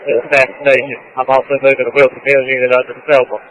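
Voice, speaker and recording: A man's voice: medium pitch, level tone, quick pace, lo-fi sound. A male fire officer talking informally over a two-way emergency radio. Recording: noisy two-way radio transmission.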